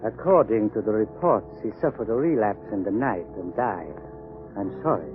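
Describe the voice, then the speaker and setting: An actor playing a coolly sympathetic sanitarium doctor with measured, formal delivery in a 1930s radio drama. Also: male voice, fairly low pitch, expressive, faint music behind, natural voice. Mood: cool regret. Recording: tinny, band-limited 1930s radio recording.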